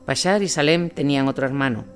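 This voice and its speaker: A man narrating a scripted audio drama as a war reporter, in a measured, solemn storytelling manner. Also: mid-range voice, a bit bright, lightly acted. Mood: grave, measured. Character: male war reporter recounting grim events in Iraq. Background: music bed.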